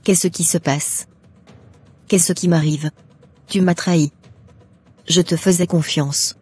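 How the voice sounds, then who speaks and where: A woman's voice: on the low side, steady tone, takes it slowly, darkish tone, slightly rough. A woman voicing a troubled, trusting young mother-to-be in a French cartoon. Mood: alarmed. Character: troubled, trusting pregnant woman running a restaurant. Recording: music behind the voice.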